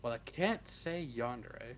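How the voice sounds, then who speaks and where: A man's voice: mid-range voice, animated, measured pace, a little hiss or hum. A man chatting casually as an interview guest, blunt and informal, swearing freely. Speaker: interview guest, script writer. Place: live online voice stream.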